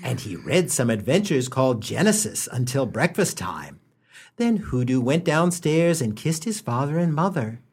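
A wry, mock-solemn humorist acted by a man narrates a comic radio play. The voice is a little rough and swings widely in pitch, leaning hard on key words with theatrical relish. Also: sing-song, moderate pace, a little mellow. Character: wry humorist narrator gently mocking a boy's gifts. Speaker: storytelling narrator.

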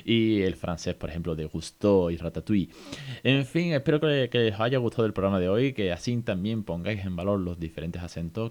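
Low-voiced chatty host. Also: male voice, a little mellow. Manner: chatty, many fillers, asks listeners questions. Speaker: podcast host. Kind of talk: casual educational podcast talk.

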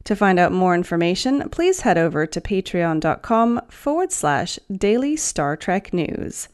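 A woman's voice: mid-range voice, darkish tone. A woman hosting a podcast, reading scripted entertainment news. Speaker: podcast host and newsreader.

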